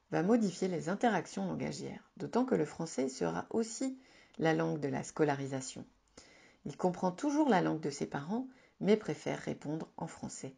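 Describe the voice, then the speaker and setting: A woman narrating a written advice article in a calm, measured, instructive reading. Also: low voice, vocal fry, breathy edge. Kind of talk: scripted informative narration.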